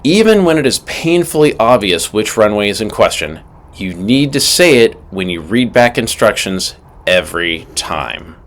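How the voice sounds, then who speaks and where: Low-voiced man with very animated pitch. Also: normal speed. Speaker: aviation instructor. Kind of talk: scripted instructional narration with acted radio call examples.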